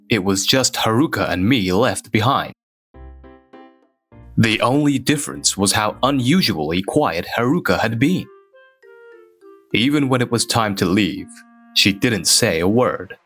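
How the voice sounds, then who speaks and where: Slow, low-voiced, reserved office man, acted by a man. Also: lively intonation, a bit bright, husky, faint music behind, heavily acted. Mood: measured, wistful. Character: reserved, awkward male office worker, narrating his own story. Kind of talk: scripted first-person narration in a manga dub.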